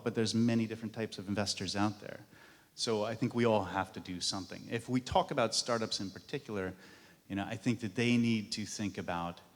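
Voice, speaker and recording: A man speaking as a panelist in a panel discussion, conversational and relaxed. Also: on the low side. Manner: conversational, laughs lightly between points. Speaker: panelist, author. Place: conference hall on stage. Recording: reverberant conference hall.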